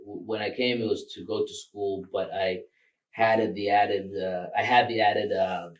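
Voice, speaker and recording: Low-voiced Rwandan man, a bit off-mic. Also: moderate pace, distant mic, low-quality recording. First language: Kinyarwanda. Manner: relaxed and rambling, with many fillers and laughs. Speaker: filmmaker guest. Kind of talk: casual interview.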